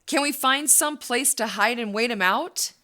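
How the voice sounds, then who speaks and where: A woman's voice: a little high, measured pace, pushed and bright. An actress playing an anxious, vengeful woman fleeing a hunter in an audio drama, earnest and dramatic in delivery.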